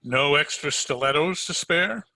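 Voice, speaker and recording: A very bright, forceful, somewhat high voice for a wisecracking private eye acted by a man in a radio mystery, recorded close and dry. He is sardonic, slangy and needling. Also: measured pace, vocal fry, dry recording, exaggerated voice, rough recording. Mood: sarcastic, probing. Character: wisecracking, sardonic male private detective narrator. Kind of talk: old-time radio detective narration and acting. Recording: home recording over video call.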